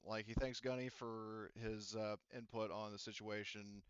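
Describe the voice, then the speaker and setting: A man's voice: fairly low pitch, level tone, moderate pace, clear, resonant tone, dry recording, dull audio. A man running a tabletop roleplaying game, rambling along with lots of fillers and restarts. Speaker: game master.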